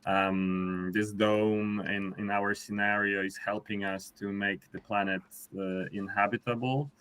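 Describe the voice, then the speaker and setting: A man's voice: on the low side, flat delivery, measured pace, fairly bright tone, smooth. A man from Poland, explaining a project on a live video call, hesitant with many fillers and restarts. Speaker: designer on a live panel. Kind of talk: casual explanatory briefing.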